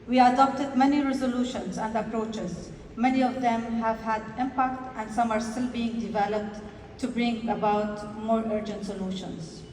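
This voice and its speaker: A woman from Lebanon giving a prepared speech at a conference, earnest and measured, slowing down to stress key words. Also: on the high side, steady tone, a little mellow. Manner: earnest, measured, slows for emphasis. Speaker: party delegate giving a congress speech. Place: large conference hall. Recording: echoey hall, distant mic, faint noise.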